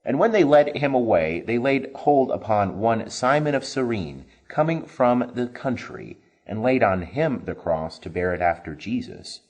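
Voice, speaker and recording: A man reading scripture aloud as a scripted narration, solemn and expressive in delivery. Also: mid-range voice, unhurried, hardly any pauses. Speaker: scripture reader. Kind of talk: dramatic scripted Bible reading.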